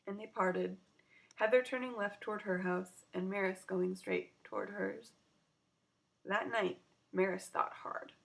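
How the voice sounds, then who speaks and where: A woman narrating a children's story aloud in an expressive storytelling style. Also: mid-range voice, vocal fry, rough recording.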